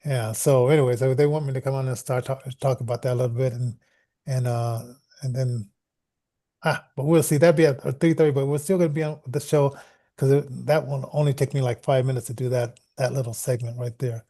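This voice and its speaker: A man's voice: mid-range voice, fairly even intonation, brisk, dark tone. A man hosting a casual, unscripted show, talking in an informal, rambling way. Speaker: podcast host.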